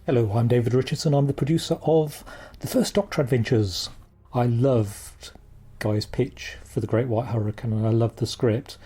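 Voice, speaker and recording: A British producer speaks in an interview with heartfelt enthusiasm. His voice is mellow and breathy, at an average pitch for a man. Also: normal speed. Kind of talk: interview talk.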